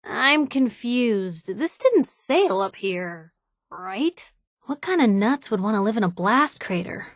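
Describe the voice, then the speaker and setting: A plucky young American woman reporter from a video game. She is sarcastic and quick with a wisecrack, yet has a conscience. Her voice sits at an average pitch and is recorded very dry. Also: no echo. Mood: curious, wry. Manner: wry, sarcastic, expressive. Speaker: video game companion character. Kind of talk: video game voice acting, in-character banter.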